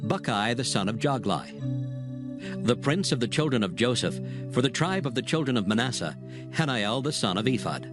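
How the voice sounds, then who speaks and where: A man's voice: mid-range voice, animated. Stately male scripture narrator. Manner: measured, stately. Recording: studio voice over music bed.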